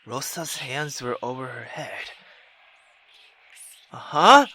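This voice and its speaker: A male gaming YouTuber with a bright, fairly high and very animated voice. He reads a visual novel dramatically and breaks into excitable, sweary commentary. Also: slow, a little hiss or hum. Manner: expressive, theatrical, sweary.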